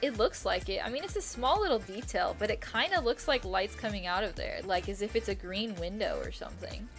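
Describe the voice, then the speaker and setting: A woman's voice: mid-range voice, expressive, fairly quick. A woman live streaming a game, thinking aloud in a casual, rambling, indecisive way and repeating herself. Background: quiet music.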